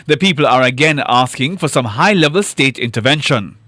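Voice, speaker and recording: A man's voice: mid-range voice, lively intonation, bright, forceful tone. A man reading a formal news report. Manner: measured, formal.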